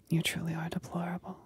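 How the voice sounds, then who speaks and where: A woman voicing a possessive demon companion in a whispered ASMR roleplay, breathy and husky, teasing and coolly commanding with condescending sweetness. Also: fairly low pitch, a little mellow, no room sound. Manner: slow, deliberate, condescendingly sweet.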